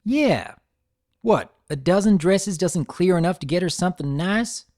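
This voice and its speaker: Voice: mid-range voice, animated, measured pace, darkish tone, voice pushed up, lightly acted. A narrator voicing a brash, folksy country mare who is blunt and taunting, in a cartoon fan story.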